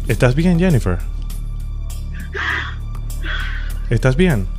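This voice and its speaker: A man acts out a stern official on a prank call. He speaks in a middle-pitched voice, formal and unhurried, offering measured sympathy as he delivers grave news. Faint music plays underneath. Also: sing-song, close to an everyday voice. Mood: solemn, official. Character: stern, unhurried official announcing an impending arrest. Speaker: prank caller, host of a phone prank show. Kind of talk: improvised prank phone call.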